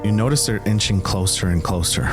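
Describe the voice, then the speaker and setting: A man with a very low voice runs a tabletop roleplaying game as its game master. He is upbeat and affirming as he narrates, and music plays clearly behind him. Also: fairly quick. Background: clearly audible music.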